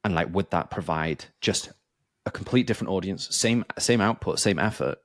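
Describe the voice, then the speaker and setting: Deep-voiced man chatting on a podcast. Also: moderate pace, no room sound. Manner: rambling, filler-heavy, many restarts. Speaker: podcast host. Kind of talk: casual chat.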